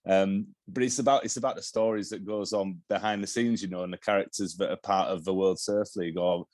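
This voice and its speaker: Low-voiced Yorkshire man, dry close sound. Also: steady tone, fairly quick, darkish tone, dry recording. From Yorkshire, England. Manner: rambling with many restarts and repeated words. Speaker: TV director, guest. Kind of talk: casual interview talk.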